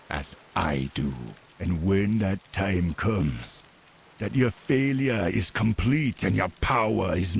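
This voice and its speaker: A grave troll leader in a video game cinematic with a Caribbean accent. The voice is very low, creaky and deliberate, coldly defiant and ominous. A faint rainy noise sits behind it. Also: male voice, exaggerated voice.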